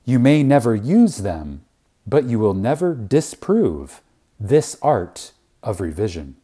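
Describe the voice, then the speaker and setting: Slow, reverent man with a husky, mellow voice. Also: mid-range voice, sing-song. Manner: reverent, deliberate, with long pauses and emphasis, phrases trailing off softly. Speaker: reader of a spiritual lecture. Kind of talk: dramatic reading of an inspirational lecture.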